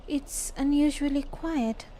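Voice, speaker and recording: A woman's voice: high-pitched, takes it slowly, dark tone, a little hiss or hum. A woman streamer reading a game's story dialogue aloud. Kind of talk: live stream read-aloud of game script. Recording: faint game music behind. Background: faint music.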